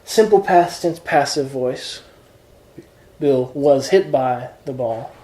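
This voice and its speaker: A man's voice: mid-range voice, slow pace, mellow, echoey room, slight background noise, poor audio. A man teaching introductory Greek grammar in an informal lesson, explaining patiently step by step with examples. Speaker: Greek tutor.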